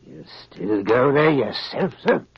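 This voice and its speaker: A man playing a deferential, conspiratorial henchman in a 1930s radio drama, with a gravelly voice, on a very noisy old recording. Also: medium pitch, very animated, a little slow, no echo, lo-fi sound, heavily acted. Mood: eager, conspiratorial. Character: deferential male henchman, conspiratorial underling to a crime boss.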